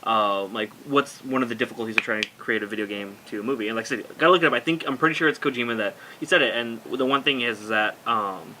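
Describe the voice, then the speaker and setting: A man's voice: medium pitch, quick pace, few pauses, bright, forceful tone, slight background noise, poor audio. A man chatting casually as a panelist on a podcast, explaining his thoughts informally.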